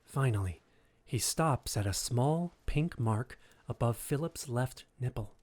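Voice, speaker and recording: Man narrating a drama, mid-pitched. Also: animated, measured pace, a little mellow.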